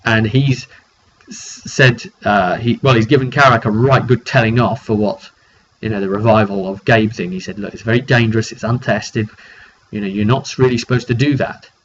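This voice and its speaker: A British man giving a casual, rambling recap of a roleplay game session, often halting mid-sentence as he tells the story. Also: on the low side, steady tone. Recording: dull lo-fi recording with background noise.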